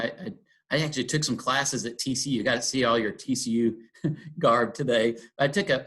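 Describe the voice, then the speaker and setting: A middle-aged man from Texas talking reflectively in a conversation, pausing often with ums and restarting his sentences. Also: medium pitch. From Texas, USA. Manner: thoughtful, many ums and restarts. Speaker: interfaith dialogue guest.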